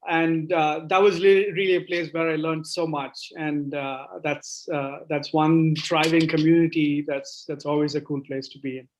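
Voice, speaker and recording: A man's voice: fairly high pitch, level tone, moderate pace, hardly any pauses, rough recording. A man hosting an online workshop, calling on participants to introduce themselves in a friendly way. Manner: friendly, inviting, speaks in short prompts. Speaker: workshop host. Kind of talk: casual online meeting. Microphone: video call.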